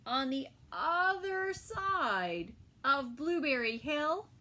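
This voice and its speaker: A woman teacher reading a picture book aloud to small children, gentle and unhurried, with soft whispered asides. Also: on the high side. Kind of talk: children's story time read-aloud.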